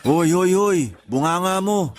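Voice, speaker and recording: A man's voice: sits high, unhurried, soft background music. An actor playing a stubborn, principled bachelor in his thirties with a Filipino accent, answering defensively in a radio drama. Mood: annoyed. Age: 30s. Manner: defensive, terse, irritated replies. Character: stubborn, principled 31-year-old bachelor, homebody son.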